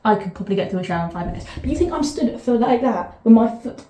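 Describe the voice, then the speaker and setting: A woman's voice: a little high, lively intonation, fast, mellow, slight background noise. Chatty southern English woman in an echoey bathroom. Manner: rambling, chatty, self-interrupting asides. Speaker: vlogger. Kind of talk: casual chat to camera. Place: sitting in a bath, bathroom.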